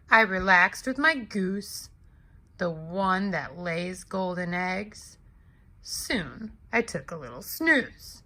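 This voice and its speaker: A woman's voice: on the high side, sharp tone, heavily acted. A woman voicing a big, hungry male giant who tells his side of a storybook tale in an aggrieved, exclamatory way. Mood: aggrieved, sly. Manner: exclamatory, indignant, deliberate storyteller. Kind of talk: expressive children's storybook read-aloud.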